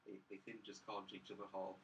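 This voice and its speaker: A man's voice: deep voice, completely flat delivery, fairly quick, recorded from a distance, slight background noise, rough recording. A young man chatting casually as a film review panelist, hesitant and often repeating himself. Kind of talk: casual chat.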